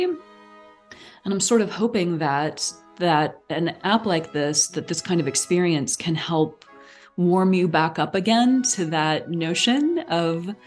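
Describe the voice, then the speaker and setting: Woman w pretty low voice, chatting casually as a podcast guest, faint music under her. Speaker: podcast guest, theatre artistic director. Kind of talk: casual conversation.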